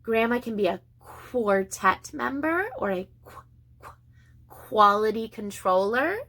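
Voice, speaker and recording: A woman preschool teacher reading a picture book aloud to young children in an expressive, sing-song way with deliberate pauses. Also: a little high.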